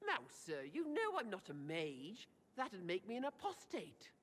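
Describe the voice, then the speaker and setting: A woman's voice: medium pitch, wildly swooping pitch, unhurried, a bit bright, long reverb tail, exaggerated voice. An actress playing a coy female elf in a video game, speaking with mock innocence. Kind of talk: video game character dialogue.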